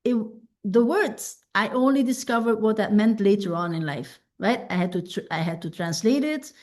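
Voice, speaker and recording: A woman coach whose first language is Dutch, teaching a self-help masterclass in an unhurried, reflective way and often checking in with "right?". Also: medium pitch, animated, a little mellow.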